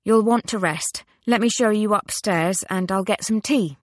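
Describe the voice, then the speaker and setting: A woman's voice: mid-range voice, normal speed, no room sound, studio quality, pitched above the performer's own voice, natural voice. A woman voicing a proud, guarded Englishwoman in an audiobook, with a firm, clipped manner. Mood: brisk, polite.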